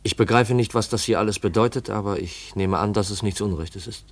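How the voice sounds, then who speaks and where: A fairly low-pitched young heir played by a man, reserved and suspicious. He speaks in terse, formal, insistent phrases in the manner of 1950s radio drama. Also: brisk, close to an everyday voice. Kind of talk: 1950s German radio play acting.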